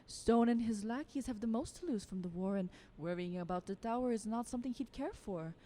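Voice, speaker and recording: A woman's voice: on the high side, brisk, mellow, slight background noise, close to an everyday voice. A woman playing a scheming, taunting vampire aristocrat in an audio drama, condescending, drawling and teasing. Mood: mocking, sly.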